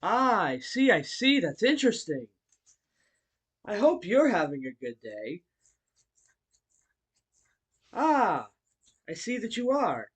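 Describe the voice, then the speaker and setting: A man voicing a friendly, bookish martial-arts hero and teacher in a fan audio roleplay, speaking politely to the listener with hesitant pauses. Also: high-pitched, big pitch swings, breathy edge, full character voice. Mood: curious, polite. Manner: polite, chuckling, hesitant pauses between phrases.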